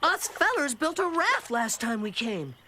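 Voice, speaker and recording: Cocky high-voiced cartoon boy. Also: sing-song, a little slow, sharp tone, a little hiss or hum, full character voice. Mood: scheming. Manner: boastful, slangy. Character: cocky, scheming little boy, stubbornly optimistic. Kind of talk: animated children's cartoon voice acting. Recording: music behind the voice.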